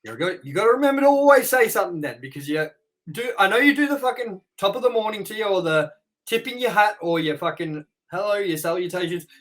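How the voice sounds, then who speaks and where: A man's voice: high voice, expressive, fast, fairly bright tone. A young man hosting a casual review podcast, joking crudely and swearing often. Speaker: podcast host.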